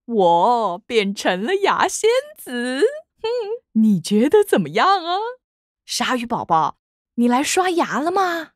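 A woman's voice: fairly high pitch, very animated, slow, dry recording, studio quality, exaggerated voice. A woman voicing a cheery old grandma shark in a children's cartoon, theatrical and coaxing, with a Taiwanese Mandarin accent. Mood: playful. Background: children's music.

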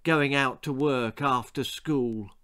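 A man voicing a sickly, loving mother in a storybook, weak and gentle. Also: medium pitch, slow, clean tone. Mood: weary, tender.

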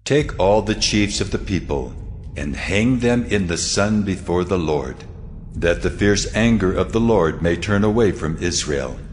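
Deep, stern voice of God. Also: male voice, animated, unhurried, slight background noise. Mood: stern, commanding. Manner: solemn, authoritative. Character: God as a stern, commanding male voice. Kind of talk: dramatized scripture reading.

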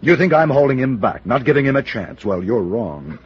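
Casting: vain, needy showbiz star, husky, pleading or defiant, vintage radio drama, muffled sound. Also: male voice, medium pitch, sing-song, normal speed. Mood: defensive. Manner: hesitant, pleading, then boastful. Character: self-pitying, vain male TV comedy star under death threat. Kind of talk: old-time radio drama acting. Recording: dull vintage radio recording.

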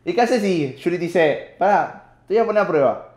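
A man's voice: sits high, lively intonation, a little fast, darkish tone. A man with an Argentine Rioplatense accent playfully quizzing his friends on a casual stream. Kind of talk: casual banter. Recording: echoey room.

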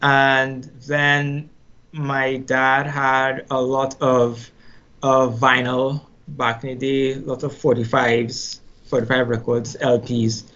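A middle-aged man with a Trinidadian accent talks through his memories in an unhurried, reflective way, often doubling back on words as he thinks. His voice sits at an ordinary middle pitch. The recording sounds a little dull and lo-fi. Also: flat delivery, a bit bright. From Trinidad and Tobago. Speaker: musician guest. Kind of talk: casual interview storytelling.

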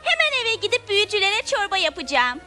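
Casting: good young witch girl, tiny high voice, animated melody of speech, cartoon dub. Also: quick pace, heavily acted. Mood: sweet, earnest. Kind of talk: dubbed cartoon acting. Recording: loud music under the voice.

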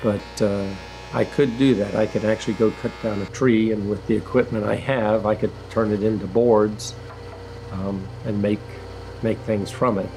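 An older man, a retired engineer, reflecting slowly on his woodworking in a documentary interview, with many pauses and restarts. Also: lowish voice, fairly even intonation, background noise. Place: woodworking shop in a farm building.

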